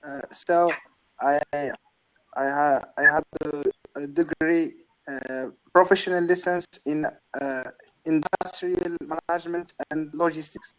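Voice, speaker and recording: A man in his twenties with a Moroccan accent, chatting casually and haltingly, repeating words as he searches for the next. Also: fairly high pitch, fairly even intonation, a bit bright, poor audio. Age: 20s. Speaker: guest caller. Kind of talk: casual online chat in halting English.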